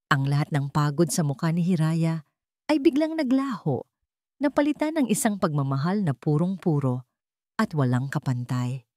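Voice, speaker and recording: A woman's voice: low-pitched, dark tone, dry recording, clean studio sound. A woman with a Filipino accent reads a scripted story as a dramatic narrator.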